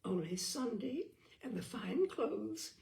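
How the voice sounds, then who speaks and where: Female storyteller, higher-pitched with some creak, expressive and theatrical delivery of comic literary fiction. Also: takes it slowly. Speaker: storyteller, audiobook reader.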